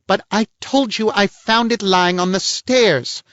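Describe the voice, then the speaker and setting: A man's voice: normal speed, dry recording, natural voice. A man voicing a haughty, theatrical middle-aged woman, huffy and affected in manner. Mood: shrill outrage. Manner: shrill, huffy, affected gentility. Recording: dull lo-fi, dry.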